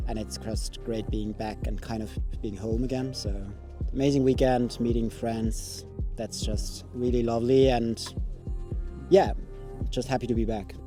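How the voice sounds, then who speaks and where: A man answers interview questions casually, pausing to find his words. His pitch sits in the middle, with clear music playing behind him. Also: steady tone, mellow. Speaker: player being interviewed.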